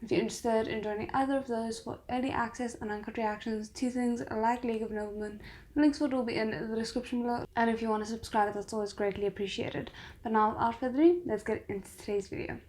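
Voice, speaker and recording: A woman's voice: a little high, fairly even intonation, fast, a little mellow, slight background noise. A woman hosting a reaction video, giving casual commentary and thinking aloud.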